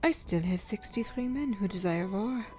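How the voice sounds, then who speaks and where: A woman's voice: medium pitch, lively intonation, normal speed, a little mellow, faint music behind, natural voice. A woman voicing a cheeky, battle-scarred fae woman war leader in an audiobook, teasing and irreverent. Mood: resolute. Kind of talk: audiobook character voice.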